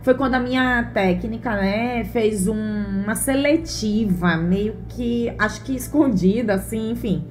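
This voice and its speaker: A woman's voice: a little high, unhurried, few pauses, darkish tone, faint music behind. A woman, a mother answering interview questions in an earnest, thoughtful way with frequent fillers. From Bahia, Brazil. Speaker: interview guest, mother and former gymnast. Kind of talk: relaxed interview conversation.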